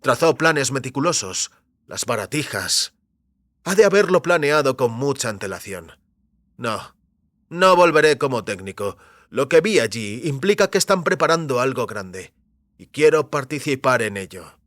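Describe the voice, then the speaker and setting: Un actor interpreta a un extécnico fugitivo, decidido y calculador, en una audioficción, con habla segura y pausada. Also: male voice, medium pitch, sing-song, fairly bright tone, no room sound. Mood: determined. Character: Jennings, determined scheming former technician on the run. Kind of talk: science fiction audio drama dialogue.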